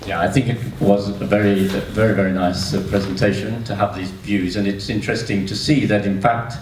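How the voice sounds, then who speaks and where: A man's voice: lowish voice, flat delivery, fairly quick, darkish tone. A man giving a spontaneous, reflective comment from the audience at a meeting. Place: large echoey room at a meeting. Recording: echoey hall, distant mic, faint noise.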